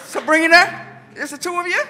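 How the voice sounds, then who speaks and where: High-voiced woman making church announcements. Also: normal speed, pushed and bright. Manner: chatty, laughing, teasing. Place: large church sanctuary. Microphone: handheld mic. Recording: reverberant church hall with music.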